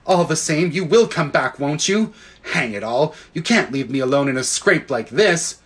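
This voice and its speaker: An earnest, worried schoolboy from a children's story, acted by a male narrator. He speaks urgently, his pitch jumping about, with a slightly husky tone. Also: expressive, normal speed, fairly bright tone. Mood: pleading. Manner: urgent, pleading.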